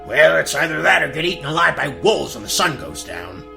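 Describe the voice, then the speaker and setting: A woman's voice: deep voice, big pitch swings, brisk, gravelly, music in the background, pitched below the performer's own voice, heavily acted. A woman voicing a cantankerous male squire in a gag-dub cartoon radio play, dry, sarcastic and grumbling.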